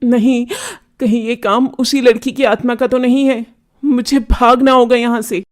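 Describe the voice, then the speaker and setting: Woman acting a cartoon young man, spooked, guilty, high-pitched, alarmed muttering. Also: steady tone, normal speed, close to an everyday voice. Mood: afraid. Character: frightened, guilty young man fearing a ghost. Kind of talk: children's cartoon horror story.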